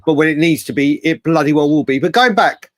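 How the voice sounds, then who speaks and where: English male football show host, fairly high voice, dry sound, matey and digressive live banter. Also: brisk, no echo. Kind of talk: casual live fan debate.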